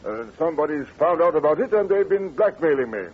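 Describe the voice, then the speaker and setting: Flustered English duke, tinny old radio. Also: male voice, on the high side, expressive, fast talker, husky. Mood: sheepish worry. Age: middle-aged. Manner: stammering, hesitant, repeating himself. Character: flustered aristocratic duke of about fifty-five, anxious, secretive. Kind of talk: 1940s radio acting.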